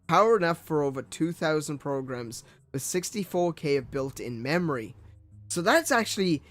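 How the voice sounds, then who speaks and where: A man's voice: fairly high pitch, a bit bright. A young man and gaming streamer reading old computer ads aloud with his own commentary, tripping over words and laughing at himself.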